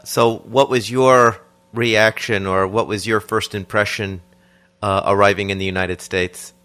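A man interviewing a guest, asking calm, measured questions. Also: fairly low pitch.

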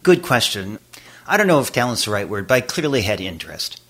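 An older man from Boston, an abstract painter, talking over his early life in a reflective, unhurried way with frequent fillers and trailing phrases. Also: on the low side, big pitch swings, a bit bright. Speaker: interview guest, abstract painter. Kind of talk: relaxed conversational recollection.

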